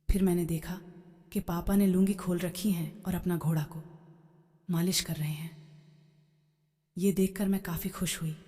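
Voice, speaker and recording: A woman narrates a scripted first-person story. Her voice sits a little low and is very mellow in tone. The recording has a long, hall-like reverb. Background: background music.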